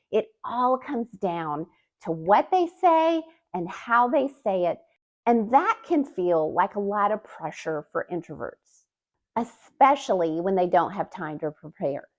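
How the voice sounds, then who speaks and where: A woman hosting her own channel as a communication coach, giving a scripted, expressive talking-to-camera explainer with emphatic rises in her delivery. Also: medium pitch. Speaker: YouTube host and communication coach.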